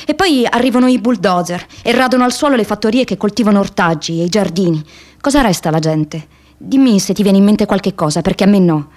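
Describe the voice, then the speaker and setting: An actress playing an earnest young American activist woman, reading her letter home as an impassioned radio theatre monologue with measured phrasing. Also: mid-range voice, darkish tone, lightly acted. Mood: earnest, dismayed.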